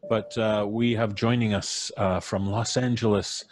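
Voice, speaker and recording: A man's voice: lowish voice, steady tone, unhurried. A middle-aged man with a North American accent hosting a live stream and introducing guests with effusive, showman-like flourish. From Canada. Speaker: podcast host. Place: small room, indoors. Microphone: studio microphone on boom arm, headphones.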